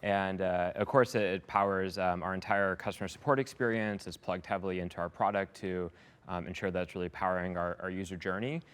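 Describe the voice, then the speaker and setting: A man giving polished, upbeat answers in a business interview, speaking as a software company executive. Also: low voice, fairly even intonation, brisk, clean tone. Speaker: head of sales, success and support at a software company, interviewed as a customer.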